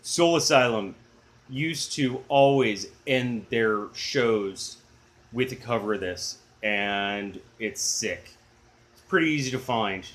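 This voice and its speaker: A middle-aged man with a low, booming baritone, hosting a music channel in a rambling, enthusiastic, self-deprecating chat. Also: slow, a bit bright. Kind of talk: casual chatty video blog.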